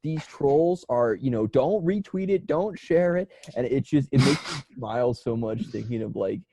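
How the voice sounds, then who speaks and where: Husky, mellow man in animated banter. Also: medium pitch, normal speed, no room sound. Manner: profane, rambling. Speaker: podcast host. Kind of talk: casual comedic banter.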